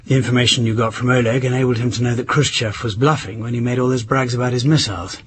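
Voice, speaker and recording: An actor playing an urbane 1960s British husband in a radio drama, clipped, understated and dryly ironic. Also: male voice, mid-range voice, fairly even intonation, fast talker, fairly bright tone, a little hiss or hum, lightly acted. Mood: wry, grave. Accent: British English.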